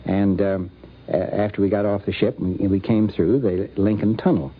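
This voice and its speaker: An older American man telling anecdotes off the cuff in a halting, deliberate way. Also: fairly low pitch, fairly even intonation, husky. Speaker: interviewee recalling a friend. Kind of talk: unscripted anecdotal reminiscence. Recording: dull vintage radio recording.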